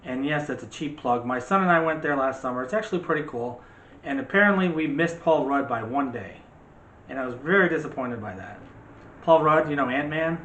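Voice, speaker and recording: A middle-aged man chats casually as co-host of a homemade movie show. He rambles and teases at an ordinary pitch. The room echoes noticeably, with faint noise behind him. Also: animated, a little fast, a bit bright. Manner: teasing, rambling. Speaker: co-host of a movie review channel. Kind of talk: casual chat. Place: room at home. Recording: roomy echo, faint background noise.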